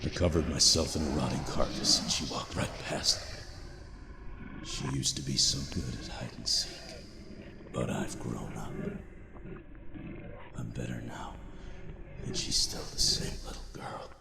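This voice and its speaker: An actor playing a weary, terrified explorer losing his mind, recording a halting log in an audio drama. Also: very deep voice, steady tone, creaky, exaggerated voice. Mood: haunted, unhinged. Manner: halting, haunted, breaks into whispers. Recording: noisy, echoing cavernous reverb.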